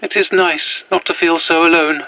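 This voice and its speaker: A woman's voice: fairly low pitch, fairly even intonation, moderate pace, bright, forceful tone, rough recording. A woman playing a courteous, wistful Victorian figure in an audio drama, with formal, old-fashioned phrasing. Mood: grateful, earnest. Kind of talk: period-styled audio drama monologue.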